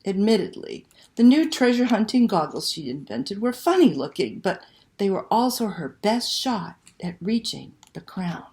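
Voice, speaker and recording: A woman telling a picture-book story to children in a dramatic, expressive read-aloud style. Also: mid-range voice, moderate pace. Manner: dramatic, animated delivery with hushed passages and exclamations.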